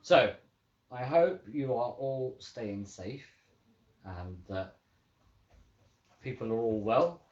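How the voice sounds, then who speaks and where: A woman hosting a children's story time, chatting casually and reading aloud in a playful, expressive way. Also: very deep voice, slow, long pauses. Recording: roomy, slightly dull home recording.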